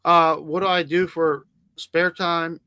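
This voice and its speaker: Slow, higher-voiced man, blunt and teasing. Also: little pitch movement, pushed and bright, smooth, no room sound. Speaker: sports podcast panelist. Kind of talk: casual chat.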